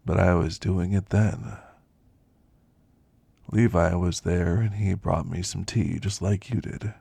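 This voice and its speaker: A man playing a weary, wistful elderly werewolf who confides in slow, reflective ASMR roleplay storytelling, gravelly and husky. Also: deep voice, steady tone, warm tone, no room sound, heavily acted. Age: older.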